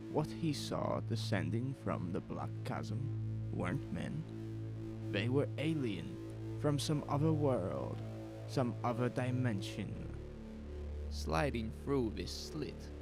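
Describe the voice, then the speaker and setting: A man narrating a horror audio drama in a suspenseful, deliberate way, with dramatic pauses. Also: mid-range voice, very animated, gravelly, poor audio. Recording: music under the voice. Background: music.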